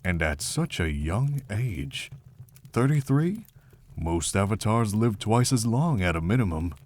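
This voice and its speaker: A man playing a gentle, wry middle-aged monk who is kindly with children in an animated fantasy story. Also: on the low side, a little slow. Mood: reflective. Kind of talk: animated fantasy visual novel voice acting.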